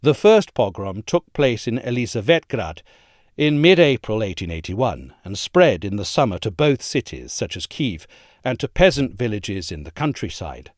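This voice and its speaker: A man reading an essay aloud as a narrator, in a measured, articulate and formal delivery. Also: medium pitch, no room sound, clean studio sound.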